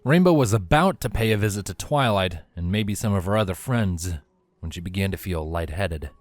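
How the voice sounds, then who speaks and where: Low-voiced man narrating a story. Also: animated, moderate pace, no echo. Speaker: narrator of fan fiction.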